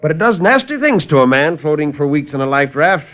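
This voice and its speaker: A man's voice: on the high side, big pitch swings, brisk, a bit bright, pitched above the performer's own voice. A man voicing a genial, sympathetic military doctor with a hearty, emphatic manner, in 1950s radio drama style. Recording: muffled 1950s radio recording.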